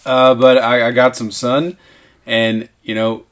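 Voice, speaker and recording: A man's voice: mid-range voice, flat delivery, unhurried, a bit bright. Easygoing Southern man in his late twenties. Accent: Southern American. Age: late 20s. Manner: easygoing, self-deprecating storyteller. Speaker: podcast co-host, teacher. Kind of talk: casual chat. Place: home man cave.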